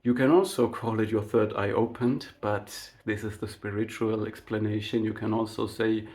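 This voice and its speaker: A man whose first language is German, giving an unscripted spiritual monologue to his channel in a slow, reflective way, full of fillers and tag questions like "right?". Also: fairly low pitch, flat delivery, a little mellow. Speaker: spiritual vlogger.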